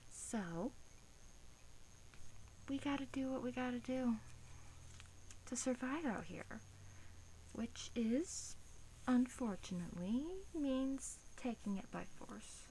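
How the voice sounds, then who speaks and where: A woman plays a female vampire captor in an ASMR roleplay, with a slightly high, soft voice that often drops to a whisper. She speaks very slowly and hesitantly, coaxing gently with a polite threat underneath. A faint noise sits behind the voice. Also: expressive, rough recording. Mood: earnest complaint.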